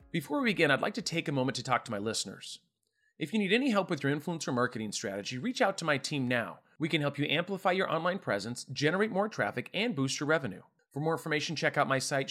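Male podcast host, quick talker, informal and gushing, loose conversational interview style. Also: on the high side, a bit bright. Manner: effusive, informal, wordy. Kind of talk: casual interview chat.

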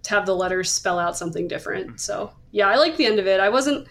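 A woman's voice: mid-range voice, lively intonation, fast talker, creaky, fairly bright tone. A woman hosting a podcast, talking casually about comics in a hesitant, self-qualifying way. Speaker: podcast host. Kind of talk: casual discussion of manga.

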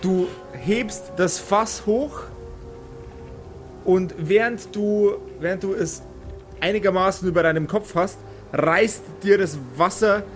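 A man running a tabletop game as game master, improvising narration for the players. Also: sits high.

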